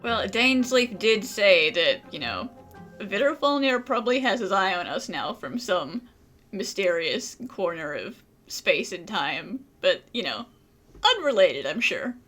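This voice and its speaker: A woman's voice: mid-range voice, sing-song, unhurried, a bit bright, faint music behind. A woman gaming streamer giving casual playthrough commentary with wry, sarcastic asides.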